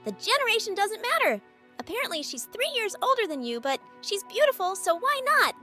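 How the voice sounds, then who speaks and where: Woman voicing pushy matter-of-fact mother, high pitch, wildly swooping intonation, manga dub. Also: big pitch swings, brisk, pushed and bright, exaggerated voice. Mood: pushy, teasing. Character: brisk, pushy middle-aged mother of a grown son. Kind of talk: animated comic dub acting. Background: background music.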